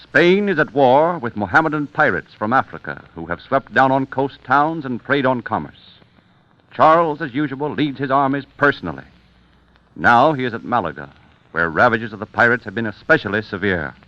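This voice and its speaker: A man narrating scripted history as a radio announcer, in a grand, declamatory vintage style with dramatic pauses. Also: mid-range voice, animated, bright, forceful tone, no echo. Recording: muffled vintage radio with music.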